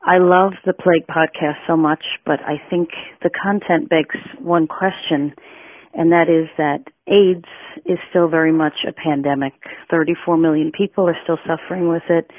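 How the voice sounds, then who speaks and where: A woman's voice: low voice, steady tone, normal speed. A woman from New York leaves a passionate listener question for a podcast. Her voice comes through a tinny, phone-like line. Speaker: listener caller.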